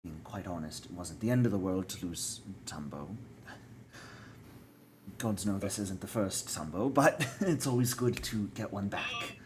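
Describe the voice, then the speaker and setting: A man voicing a matter-of-fact tent keeper who keeps familiars, talking in a brisk, unbothered way. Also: lowish voice, sing-song, noisy background.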